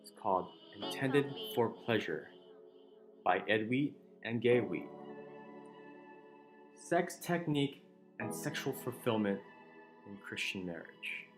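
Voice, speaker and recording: A man giving a dramatic, emphatic reading of a book, with deliberate pauses. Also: fairly low pitch, lively intonation. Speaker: channel narrator reading a book aloud. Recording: roomy echo with music underneath. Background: soft chill music.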